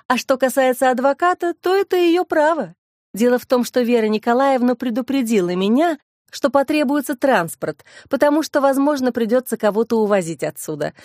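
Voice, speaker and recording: A calm, authoritative police colonel from a crime audiobook, voiced by a woman reader. The delivery is firm, measured and official. The recording is very dry. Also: high-pitched, no echo.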